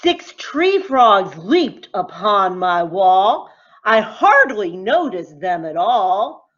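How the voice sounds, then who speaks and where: A woman teacher reading a rhyming picture book aloud to children in a deliberate, expressive, sing-song way. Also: mid-range voice, dull audio. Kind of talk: expressive storybook read-aloud in rhyme.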